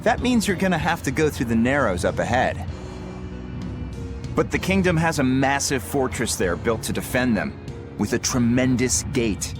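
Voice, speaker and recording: A man's voice: mid-range voice, sing-song, moderate pace, natural voice. An actor playing a brash, loyal pirate crewman in a video game, talking in a casual, cocky way. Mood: matter-of-fact. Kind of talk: English video game dub acting. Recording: loud music behind voice.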